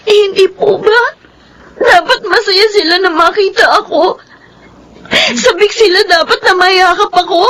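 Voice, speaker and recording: Abandoned Filipina girl of eighteen, radio drama, extremely high tearful voice, faint background noise. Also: measured pace, fairly bright tone. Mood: hurt, sobbing. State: crying. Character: wounded, tearful eighteen-year-old abandoned daughter.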